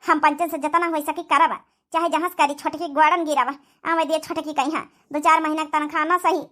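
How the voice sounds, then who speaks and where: A woman's voice: sits high, fairly even intonation, a little fast, pushed and bright. A woman with an Awadhi accent playing a worried, devoted elderly village mother-in-law in a cartoon comedy. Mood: fretful. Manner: fretful, pleading.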